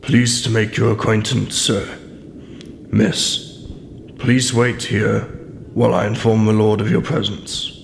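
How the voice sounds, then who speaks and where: A man's voice: fairly low pitch, little pitch movement, slow, long pauses. A man playing a stiff, solemn and deferential manor-house butler in a radio play, speaking formally and with measure. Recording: clear ambient noise behind the voice.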